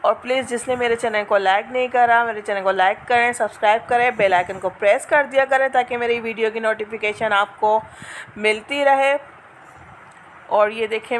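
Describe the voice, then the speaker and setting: A woman's voice: fairly high pitch, a bit bright, slight background noise, rough recording. A woman talking viewers through a home recipe in a chatty, instructive cooking vlog. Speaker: cooking vlogger. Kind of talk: casual cooking walkthrough. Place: home kitchen.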